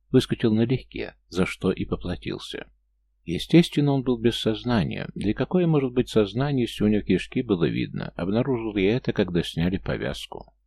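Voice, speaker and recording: Deep gravelly male audiobook narrator. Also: mellow, no room sound. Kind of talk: scripted first-person fantasy narration.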